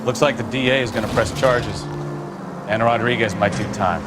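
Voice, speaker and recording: An actor playing a doubtful, worldly police detective partner in a crime drama video game, talking casually and skeptically. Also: male voice, on the low side, a little fast, heavy background noise, lightly acted.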